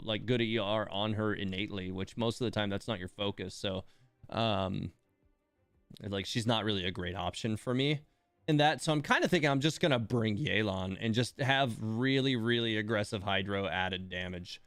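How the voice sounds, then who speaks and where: A man's voice: fairly low pitch, smooth, no room sound. A man giving casual live game commentary, thinking aloud in broken, hesitant phrases. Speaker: game livestream host.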